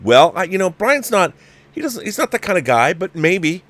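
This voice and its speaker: A man's voice: high-pitched, very animated, normal speed, a bit bright, dry recording. A man hosting a live movie review show, talking casually and emphatically in a rambling way, repeating phrases to press his point. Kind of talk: casual livestream film commentary, reading viewer messages.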